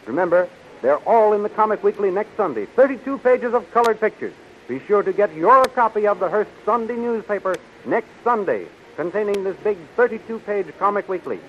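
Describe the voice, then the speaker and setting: A man's voice: high voice, lively intonation, fairly quick. A man with an American accent announcing a 1930s radio serial in a theatrical, declamatory scripted style. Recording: dull, noisy 1930s radio recording.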